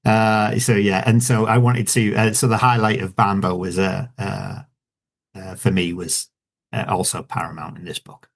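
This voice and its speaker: A man's voice: on the low side, level tone, moderate pace, vocal fry. Man, Yorkshire accent, author guest, casual interview chat, rambling with fillers. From Yorkshire, England. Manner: rambling, many fillers and restarts, self-deprecating.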